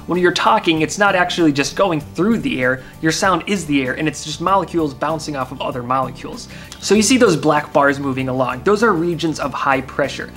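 A man's voice: fairly high pitch, fairly bright tone. A man in his twenties with an American accent, hosting a science video in a casual, conversational way to camera. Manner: informal, conversational. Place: open-fronted garage workshop.

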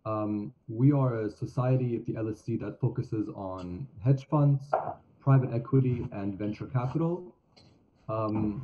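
Young man hosting a video-call interview. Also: mid-range voice. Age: young adult. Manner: polite, with frequent fillers. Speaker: student interviewer. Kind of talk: interview questions. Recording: dull video-call audio.

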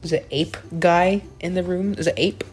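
A young adult woman chatting through an improvised Minecraft roleplay, excitable and prone to repeating herself. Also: lowish voice, moderate pace, faint music behind. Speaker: gaming video player.

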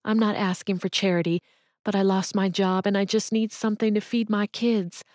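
A woman's voice: medium pitch, level tone, normal speed, a little mellow, no room sound, studio quality, natural voice. A woman voicing a desperate jobless mother who pleads through tears, her voice breaking. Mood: pleading. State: crying.

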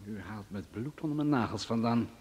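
An actor playing an irascible, domineering wealthy boss in a Dutch radio drama, gruff and imperious, with a husky voice. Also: male voice, fairly low pitch, lively intonation, a little fast, darkish tone. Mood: grudging. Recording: reverberant old radio play recording.

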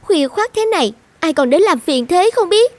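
A woman voicing a practical young granddaughter in an animated folktale, complaining and questioning. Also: very high-pitched, normal speed. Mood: worried, annoyed.

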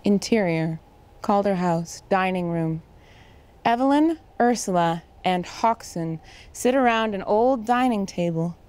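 A woman's voice: mid-range voice, lively intonation, measured pace, a little mellow. A woman reading scripted narration and stage directions for an audio drama.

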